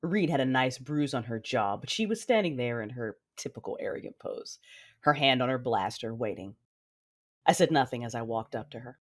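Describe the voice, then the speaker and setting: Woman playing a low-voiced, grave warrior mother. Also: animated, normal speed. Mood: grave, restrained. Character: battle-hardened, stoic woman warrior and mother. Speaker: narrator of a fan audio drama. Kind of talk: first-person dramatic narration.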